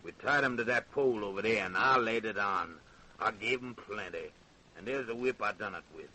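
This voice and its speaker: An actor playing a servile but cruel plantation hand in a radio drama, folksy and eager, with a Southern American accent. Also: male voice, lowish voice, normal speed, sharp tone, a little hiss or hum, poor audio. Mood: boastful, cruel. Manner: folksy dialect, eager, menacing relish. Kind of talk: old-time radio drama acting.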